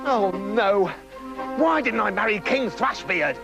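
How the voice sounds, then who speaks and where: A conceited young storybook princess, high-pitched and petulant. The delivery swoops wildly, rude and mocking about everyone. Music plays behind the voice. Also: male voice, animated, takes it slowly, vocal fry, full character voice. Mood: indignant.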